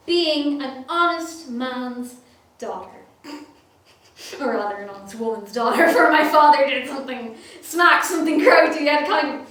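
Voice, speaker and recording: A woman playing a comic young male servant, talking through a lively stage soliloquy in an echoey hall from far off. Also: high voice, exaggerated voice. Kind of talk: stage acting.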